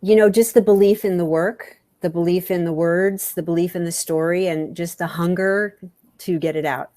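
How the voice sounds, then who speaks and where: A woman with a fairly low voice talks candidly as an interview guest. Her delivery is halting, circling back to repeat phrases for emphasis. The sound is quite dry, with little room echo. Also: steady tone, mellow. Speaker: author, podcast guest.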